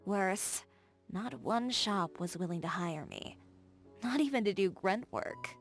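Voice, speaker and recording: A woman's voice: medium pitch, expressive, a little slow, soft background music, lightly acted. Woman playing a cool confident young tailor in a game, mid-range voice, dry and frank. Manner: self-assured, dry and candid. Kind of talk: video game voice acting.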